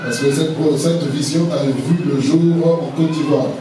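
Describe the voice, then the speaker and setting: A man from Congo-Brazzaville addressing a church conference of pastors in a measured, halting way with many pauses. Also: a little high, steady tone. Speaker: apostle leading a pastors' conference. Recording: echoey hall, distant, noisy.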